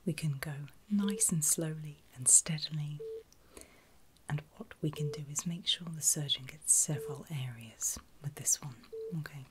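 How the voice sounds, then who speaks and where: A woman whispering in a breathy, soothing and reassuring way, as a caregiver in an ASMR medical roleplay. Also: low voice, background noise. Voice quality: breathy, mostly unvoiced whisper. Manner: soothing, reassuring, slow and deliberate. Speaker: ASMR performer playing a surgical nurse.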